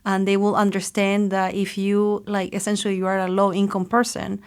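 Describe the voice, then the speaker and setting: A woman's voice: mid-range voice, completely flat delivery, moderate pace, smooth. A woman from Chile in her thirties, speaking as a guest on an interview podcast with emphatic passion. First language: Spanish. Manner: passionate, emphatic, with some restarts.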